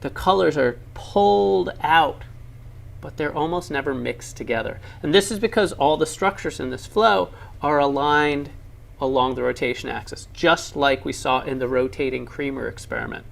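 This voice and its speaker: A man's voice: on the high side, moderate pace, creaky. A man narrating laboratory science demonstrations for an educational film, explaining with enthusiasm and emphasis.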